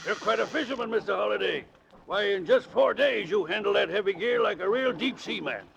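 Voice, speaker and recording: A man acting a hearty, deferential charter boat captain with folksy sailor talk and a gravelly voice, in a 1940s radio drama. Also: sits high, lively intonation, brisk, a bit bright, heavily acted. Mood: excited. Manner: folksy nautical dialect, hearty. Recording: old muffled noisy 1940s radio recording.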